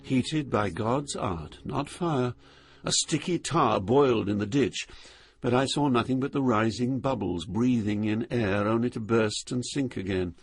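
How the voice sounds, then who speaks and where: An older actor playing a solemn poet, narrating his journey through hell in a measured, literary way. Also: medium pitch, husky. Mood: grave, haunted. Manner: grave, measured, poetic.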